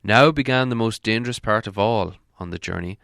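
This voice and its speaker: A man's voice: lowish voice, brisk, gravelly, a bit bright, dry recording, clean studio sound. A man reading a storybook aloud as an audiobook narrator, in scripted narration. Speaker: audiobook reader.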